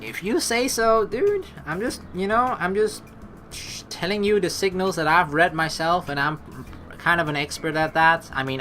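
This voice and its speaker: Animated, bright-voiced man doing let's play commentary. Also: high voice. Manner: rambling, slangy, exasperated asides, profane. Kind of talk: casual gameplay commentary, reading story text aloud.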